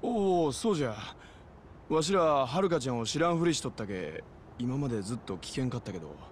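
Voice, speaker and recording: A man's voice: mid-range voice, very animated, a little fast, a little hiss or hum, natural voice. Man as a swooping, folksy Hiroshima gangster. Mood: puzzled. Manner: folksy, rough dialect talk. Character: folksy, puzzled yakuza henchman with rustic speech. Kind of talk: dramatic Japanese video game acting.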